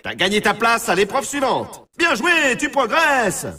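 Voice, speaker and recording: An excitable arcade snowboard race announcer, pitched high and swinging widely. He is brash and showy, punching out exclamations with a bright, forceful edge. It is big video game hype from start to end. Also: a little slow, breathy edge, distant mic, heavily acted. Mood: upbeat, urging.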